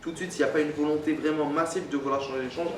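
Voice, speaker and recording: A man's voice: on the high side, fairly even intonation, very fast. A young man from France giving an interview, insistent and hesitant, with many fillers. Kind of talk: earnest interview testimony. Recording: roomy, distant mic, music behind.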